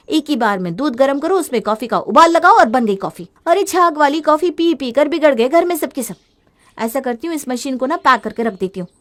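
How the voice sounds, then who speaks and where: Woman playing a young housewife, high voice, headstrong, exclamatory, complaining, defensive, storybook family drama. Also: a bit bright. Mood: exasperated. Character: headstrong gadget-obsessed young Indian housewife, easily fed up.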